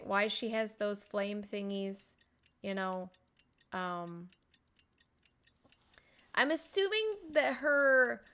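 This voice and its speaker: A woman's voice: medium pitch, a bit bright. A woman game streamer giving casual, playful commentary and musing aloud as she plays. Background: game music.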